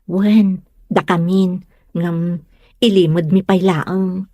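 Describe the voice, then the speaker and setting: A woman's voice: on the low side, steady tone, takes it slowly, dark tone, no room sound. A woman acting a sweet young woman in secret love in an Ilocano comedy drama. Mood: friendly, candid.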